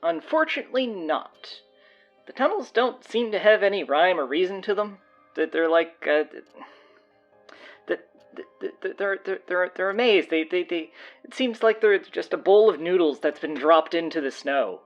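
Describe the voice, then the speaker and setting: Earnest low-voiced student played by a woman. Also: expressive, normal speed, muffled recording, faint music behind. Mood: flustered, evasive. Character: earnest, defensive, grandiose high school student. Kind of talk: audio drama dialogue.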